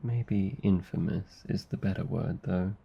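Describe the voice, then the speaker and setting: A man with an Australian accent playing a gentle, affectionate, protective demon in an intimate, soothing ASMR roleplay. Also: low-pitched, unhurried, vocal fry, slightly rough, highs cut off, heavily acted. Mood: reassuring, coaxing. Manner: soft, intimate, soothing, teasing. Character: gentle, affectionate male sleep paralysis demon, protective comforter.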